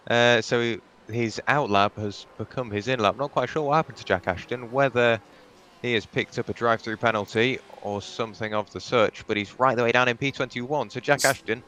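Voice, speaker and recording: A man co-commentating a live sim race with steady, analytical play-by-play. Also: mid-range voice.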